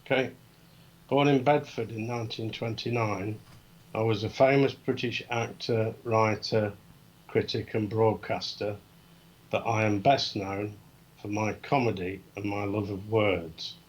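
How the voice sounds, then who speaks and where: A man with a lowish voice reads quiz clues aloud in a steady, matter-of-fact way. His delivery is plain and unhurried, the way someone sounds working through a list. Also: rough recording. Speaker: quiz guest. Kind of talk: reading quiz questions.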